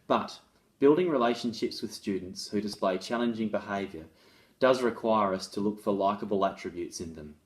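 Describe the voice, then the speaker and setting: Low-voiced man, reading and explaining. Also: normal speed. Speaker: author and presenter on restorative practices in schools. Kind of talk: reading a case study aloud with informal explanatory asides.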